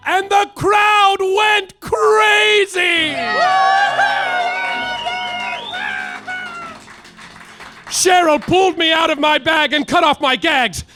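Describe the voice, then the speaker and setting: A man playing a hapless hardboiled private detective, narrating in a wry noir deadpan on a live stage. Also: extremely high pitch, takes it slowly, shouting, soft background music, exaggerated voice. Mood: triumphant. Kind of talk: live comedic radio-play narration.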